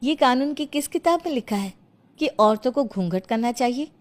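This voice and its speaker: A woman's voice: high voice, lively intonation, moderate pace, pitched above the performer's own voice. A woman voicing an outspoken, indignant young mother and daughter-in-law in a village cartoon story. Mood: challenging. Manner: indignant, firm, challenging.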